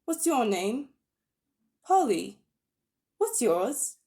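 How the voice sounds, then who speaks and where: Lady putting on a nosy little girl, kinda prim, high and bouncy. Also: sing-song, extremely slow, mellow, full character voice. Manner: curious, a bit prim. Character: curious, forthright young girl.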